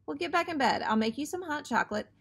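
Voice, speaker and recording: A caring, sensible mother from a children's story, read aloud by a woman. She reasons in a motherly way, in a fairly high voice with lively rises and falls. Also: fast talker, vocal fry. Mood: concerned.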